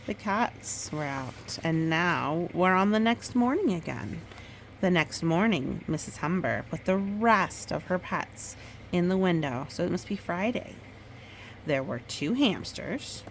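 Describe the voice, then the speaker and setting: A woman's voice: fairly low pitch, a little hiss or hum. A woman reading a children's story aloud, storytime style, with chatty asides about the pictures. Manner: expressive, pauses to comment on the pictures. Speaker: storytime reader.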